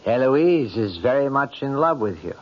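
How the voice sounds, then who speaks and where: Radio drama: concerned confidant, very animated, gently reproachful, on a dull, muffled broadcast. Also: male voice, medium pitch, moderate pace. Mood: probing. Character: concerned, probing friend of the bridegroom. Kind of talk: old-time radio drama acting. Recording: muffled old radio, faint noise.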